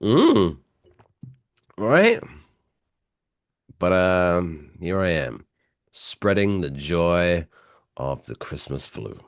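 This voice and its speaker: A man with a British accent, softened by years in America, telling stories as a podcast co-host while stuffy with a cold. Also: sits low, sing-song, very slow, pauses often, dry recording, clean studio sound. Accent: British English softened by years in the US. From England. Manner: chatty, self-mocking storyteller. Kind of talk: casual podcast chat.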